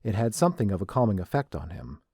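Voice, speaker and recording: A man's voice: lowish voice, sing-song, fast talker, vocal fry, dark tone, slightly rough, dry recording, clean studio sound. A man narrating a scripted story.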